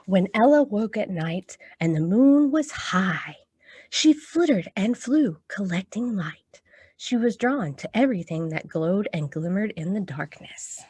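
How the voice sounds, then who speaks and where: A woman's voice: mid-range voice, animated, unhurried, mellow, breathy edge. A woman hosting a storytime for young children, talking encouragingly and reading aloud to her little audience. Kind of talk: children's storytime with songs and picture-book reading.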